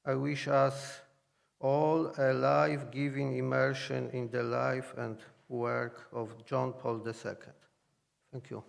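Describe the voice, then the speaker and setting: A man reads a formal welcome to conference guests. He speaks very slowly in a flat monotone, and his voice is thick with gravelly fry. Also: mid-range voice, slight background noise. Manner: slow, flat, read-out delivery. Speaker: conference host giving a welcome.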